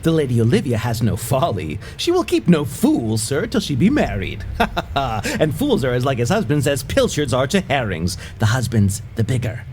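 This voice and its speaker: A man's voice: medium pitch, wildly swooping pitch, normal speed, darkish tone, slight background noise. A man playing an impudent, riddling jester in a Shakespeare audio play, with a teasing, lilting, theatrical delivery. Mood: impish, mocking. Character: impudent, riddling male jester, a wordplaying fool.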